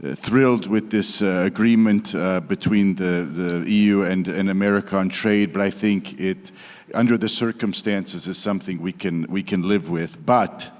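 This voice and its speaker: A man from Croatia, speaking as a politician on a conference panel, halting with many fillers and restarts but blunt in his opinions. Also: low voice, steady tone. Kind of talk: panel discussion remarks. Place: conference hall. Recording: long reverb of a conference hall.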